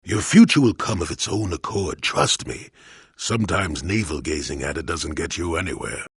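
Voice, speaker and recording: An actor playing a cantankerous, wry old male Jedi hermit in a video game, with a gravelly, husky voice and a grumbling, sardonic manner. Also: sits low, normal speed, no echo, clean studio sound, exaggerated voice. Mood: wry, musing. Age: older. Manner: grumbling, sardonic, theatrically expressive. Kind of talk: video game character dialogue.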